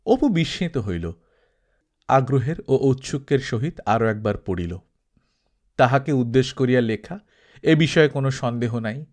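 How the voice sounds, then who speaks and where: Man, medium pitch, audiobook narrator, measured formal delivery, expressive literary reading. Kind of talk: scripted literary narration with verse recitation.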